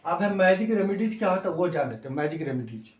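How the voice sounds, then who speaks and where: A man's voice: high voice, sing-song, fast, a little mellow, lo-fi sound, slight background noise. A man with a Bihari accent giving an informal lecture as a coaching teacher, explaining in simple words, repeating phrases and checking that students follow. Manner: repeats phrases and checks understanding with short tags. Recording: echoey, reverberant room.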